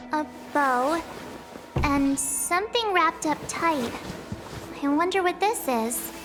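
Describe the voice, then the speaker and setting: A woman's voice: sits high, exaggerated voice. A woman voicing a curious, helpful young girl adventurer in a video game, musing aloud inquisitively.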